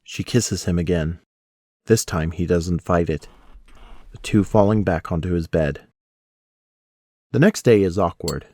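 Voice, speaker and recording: A man's voice: deep voice, a little slow, pauses often, a little mellow, no echo, studio quality. A man reading scripted narration for an audio drama.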